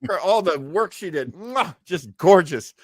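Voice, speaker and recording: A man's voice: high voice, expressive, unhurried, dry recording. A man hosting a casual chat, talking in a jokey, theatrical and effusive way. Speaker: host.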